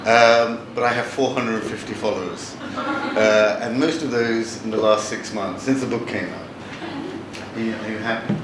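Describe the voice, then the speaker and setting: A man's voice: lowish voice, fairly even intonation. Wry man talking in an echoey hall. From born in Bangladesh, raised in London. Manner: wry, chatty, halting with frequent restarts. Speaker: novelist giving a talk. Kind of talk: live talk to an audience. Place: large hall with audience. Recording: echoey hall from far off, noisy.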